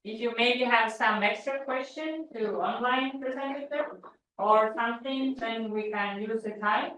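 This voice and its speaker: A woman's voice: on the high side, unhurried, fairly bright tone, recorded from a distance. Woman moderator in an echoey room. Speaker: session moderator. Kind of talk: moderating a conference session.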